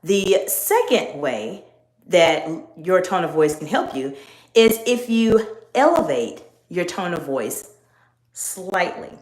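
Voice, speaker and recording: Measured woman coaching in an echoey room. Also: on the low side, sing-song, darkish tone. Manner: measured, emphatic teaching tone. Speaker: executive coach. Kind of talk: live-stream talk to viewers.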